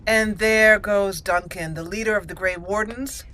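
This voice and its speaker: Slow-paced, bright-voiced woman roleplaying a game. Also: mid-range voice, steady tone, a little hiss or hum. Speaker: gaming channel host. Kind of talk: roleplay let's play, in-character replies and commentary.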